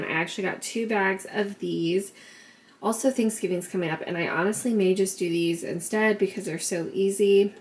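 A woman's voice: medium pitch, vocal fry, slight background noise. A young woman casually chatting through a grocery haul vlog in her home kitchen, upbeat and talkative, recorded on a camera mic. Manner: chatty, upbeat, talks in a sing-song baby voice to her son. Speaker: vlogger.

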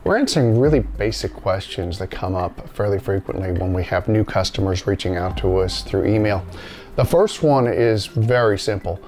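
A man's voice: lowish voice, expressive, normal speed, darkish tone. A middle-aged man giving an informal, plain-spoken explainer to camera, going step by step with examples. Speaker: company presenter explaining audio basics. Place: indoor listening room, seated.

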